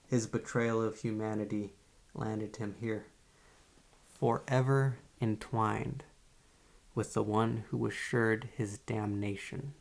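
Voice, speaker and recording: Guy acting as a calm creepy psychiatrist for asmr, solemn and measured, real gravelly, pretty even tone. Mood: grave, explanatory. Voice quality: low, gravelly. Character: male psychiatrist, calm, morbidly literary, quietly controlling. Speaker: therapist. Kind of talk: ASMR roleplay, poetry recitation and monologue.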